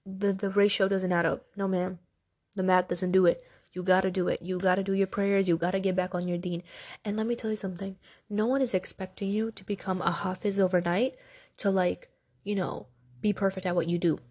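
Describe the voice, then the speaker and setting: A woman's voice: medium pitch, level tone, fairly quick, a little mellow. A congested young woman hosting a podcast, giving casual, direct motivational talk with a soothing voice. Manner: chatty, direct, addresses listeners as girl and y'all. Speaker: podcast host.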